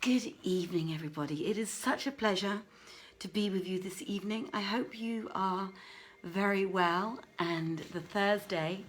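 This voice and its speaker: A woman's voice: fairly low pitch, a bit bright, a little hiss or hum. A woman hosting an informal livestreamed evening reading, chatting directly to her audience as she welcomes them.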